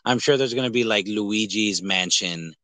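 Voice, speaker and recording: A man hosting a casual movie podcast, talking in an animated, rambling, jokey way with some swearing. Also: fairly low pitch, moderate pace, dry recording. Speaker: podcast host. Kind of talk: casual movie review chat.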